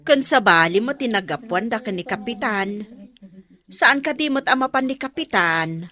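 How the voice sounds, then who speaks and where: Actress as lively, bright, nosy Ilocano neighbour. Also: female voice, mid-range voice, normal speed. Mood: nosy, chatty. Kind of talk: Ilocano radio comedy drama acting.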